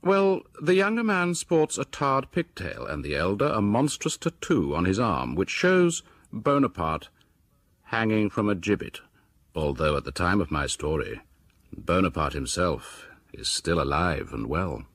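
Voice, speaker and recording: An actor playing a sinister host of a house of horror, narrating in a theatrical, measured, ominous way. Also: male voice, low-pitched, big pitch swings, fairly bright tone, dry recording, exaggerated voice. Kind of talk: scripted radio horror narration.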